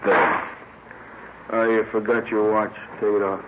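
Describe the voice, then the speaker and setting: An actor playing a bored, gruff police booking clerk in a 1950s radio crime drama, plodding through his words as if writing them down. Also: lowish voice, natural voice. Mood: plodding, routine. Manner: slow, flat, repeating items as he writes. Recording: noisy, tinny old 1950s radio recording.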